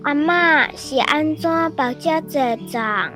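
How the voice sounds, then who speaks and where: A woman's voice: high-pitched, takes it slowly, bright, forceful tone, soft background music, exaggerated voice. A woman acting a sleepy, curious six-year-old girl in a radio drama, with a Taiwanese Hokkien accent.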